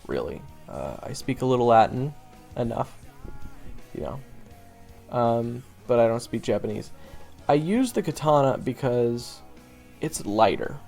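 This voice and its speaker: A man playing a seasoned monster hunter and blunt mentor to a rookie in an audio roleplay, speaking conversationally with halting, drawn-out pauses. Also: medium pitch, creaky, mellow. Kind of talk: audio roleplay acting addressed to the listener.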